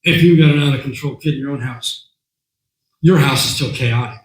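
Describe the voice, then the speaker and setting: A man with a hoarse voice speaking to a meeting as a guest speaker, talking in a conversational way, repeating words and often clearing his throat. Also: medium pitch, a little fast, echoey room, rough recording. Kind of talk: talk to a meeting. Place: meeting room.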